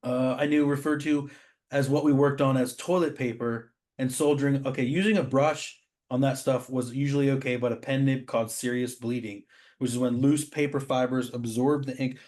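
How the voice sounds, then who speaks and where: A man's voice: medium pitch, fairly even intonation, normal speed, darkish tone. A man hosting a show, chatting casually and admiringly as he comments on comic art. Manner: admiring, informal, says "man" often. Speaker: show host.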